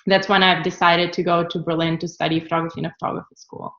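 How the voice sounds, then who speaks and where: A woman from Ukraine speaks in a somewhat low voice, in relaxed interview talk full of "uh" and "um". The sound is roomy and echoey, as if she sits in an untreated room. The audio is a little dull and lo-fi. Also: quick pace. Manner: relaxed, frequent fillers and restarts. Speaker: guest, travel photographer. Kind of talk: casual interview answers.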